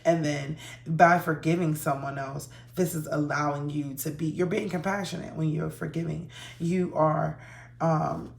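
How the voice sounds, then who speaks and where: A hoarse woman giving a relaxed, chatty tarot reading to her viewers, with frequent ums. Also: deep voice, echoey room. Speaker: tarot reader.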